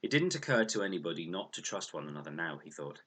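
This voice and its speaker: Low-voiced man reading an adventure story. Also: sing-song, fast, a bit bright. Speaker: audiobook reader. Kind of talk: expressive scripted storybook narration.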